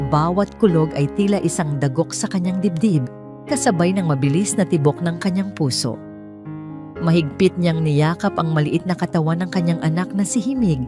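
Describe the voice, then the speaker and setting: A woman reading a scripted story as a dramatic storyteller. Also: fairly low pitch. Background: soft music.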